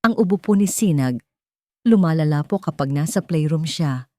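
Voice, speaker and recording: A woman acts out a young Filipino nanny in an audio drama, timid but earnest. The voice is mellow and dry, with a hesitant, respectful way of speaking. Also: low-pitched, animated, moderate pace, no echo. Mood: earnest, worried.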